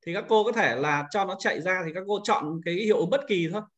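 A man's voice: high voice, fast, fairly bright tone. A man giving an informal screen-recorded tutorial as an instructor, explaining things step by step and often repeating himself.

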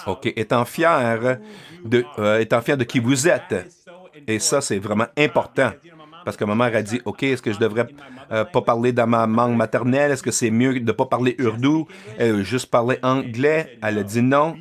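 A man with an ordinary middle-pitched voice interprets a keynote speech live over a video call. He talks hesitantly, stopping often on filler sounds and restarting his phrases. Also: moderate pace.

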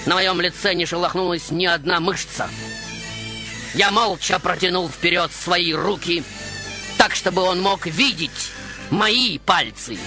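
Theatrical, high-pitched proud composer. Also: male voice. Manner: theatrical, rhetorical, dramatic pauses. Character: proud, stubborn baroque composer, theatrical storyteller. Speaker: radio show host in character. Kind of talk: dramatic first-person radio monologue.

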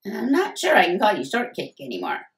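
A woman's voice: mid-range voice, huge pitch swings, fairly quick, creaky, distant mic, pitched above the performer's own voice. A woman voicing a teasing teenage sister in a storybook reading. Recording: roomy echo.

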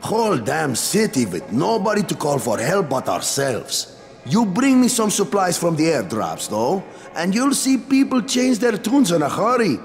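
A wry gruff quartermaster. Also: male voice, sits high, normal speed, heavily acted. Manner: wry, blunt, lively delivery. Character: gruff middle-aged male quartermaster, sardonic. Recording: game audio with music behind.

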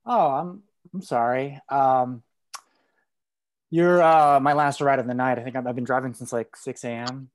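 A young man acts a polite rideshare driver in a screenplay read. He speaks in a medium-pitched voice with hesitations and small stammers. He comes across as awkward and well-meaning over a headset on a video call. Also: steady tone, moderate pace, close to an everyday voice. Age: young adult. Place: living room at home, indoors. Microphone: earphone headset on video call.

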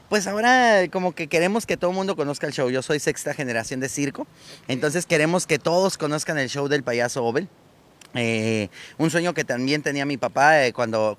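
A man in his thirties, born in Colombia and raised in Mexico, a clown talking casually and slangily outdoors into a held microphone. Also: fairly high pitch. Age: 30s. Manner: relaxed, slangy and chatty. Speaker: clown and guest. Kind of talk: casual chat. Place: seaside street outdoors in sunshine. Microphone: interviewer's handheld microphone.

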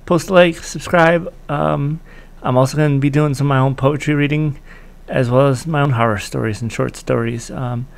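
Gravelly American man, casual halting host. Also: medium pitch, fairly even intonation. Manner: halting, thinking aloud. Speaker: online host of old-time radio shows. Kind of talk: casual unscripted outro. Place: small room indoors.

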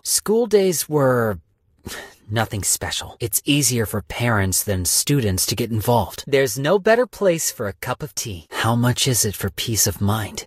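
A calculating salaryman from a video game, a cynical stock broker with a husky voice. His delivery moves around in pitch while staying wry, polite and businesslike. The sound is dry and close. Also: dry recording. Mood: calm, wry. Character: smooth-talking, money-minded, cynical male stock broker. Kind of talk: video game character voice lines.

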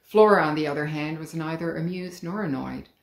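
Middle-aged woman, low gravelly voice. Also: brisk. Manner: calm, clear storytelling with lively emphasis. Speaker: author. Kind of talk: reading her own children's book aloud. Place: living room at home. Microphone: webcam, head and shoulders. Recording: roomy living room.

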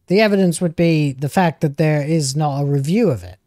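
A man's voice: fairly high pitch, brisk, mellow, dry recording. A man in his thirties streaming from home on a headset mic, reacting to video clips in casual, argumentative commentary. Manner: argumentative, talks back at the clips.

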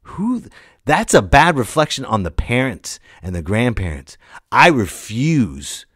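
A man's voice: medium pitch, big pitch swings, unhurried, fairly bright tone, husky, dry recording, studio quality. A man in his late thirties hosting a solo podcast, ranting and telling stories casually in a blunt, emphatic manner. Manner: emphatic, blunt, swearing, acts out quoted lines. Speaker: podcast host.

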